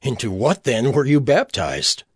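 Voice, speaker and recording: A man playing a fervent, forthright apostle in a dramatized Bible audio drama, speaking with emphatic, declamatory delivery and a husky voice. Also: medium pitch, big pitch swings, a little slow, no echo, clean studio sound. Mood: earnest, probing.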